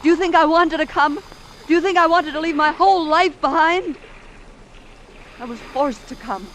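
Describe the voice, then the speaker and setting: A woman's voice: sits high, moderate pace, a bit bright. An American woman acting an anxious, ambitious secretary in an old-time radio suspense drama, with an earnest, melodramatic delivery. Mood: bitter. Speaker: actress playing the secretary. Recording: vintage radio drama, faint background noise.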